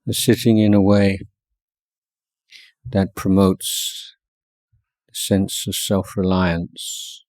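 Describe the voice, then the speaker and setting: A man guiding a meditation as a teacher, speaking in short measured phrases with long pauses between them. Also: deep voice, little pitch movement, darkish tone, no echo. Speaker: Buddhist monk teaching meditation. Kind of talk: guided meditation instruction.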